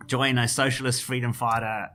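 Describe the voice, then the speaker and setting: A man's voice: medium pitch, little pitch movement, normal speed. A man with a South African accent, a finance journalist answering interview questions in a thoughtful, conversational way. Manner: thoughtful, with frequent restarts and soft laughs.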